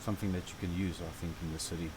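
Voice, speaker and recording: A deep-voiced man with a South African accent talks through a driving game in a loose, chatty way, with fillers and the odd grumble. An air-conditioner hum runs steadily underneath. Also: very fast. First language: Afrikaans. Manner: relaxed, rambling and chatty, with fillers and light grumbling. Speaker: gaming channel host. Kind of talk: casual gameplay tutorial commentary.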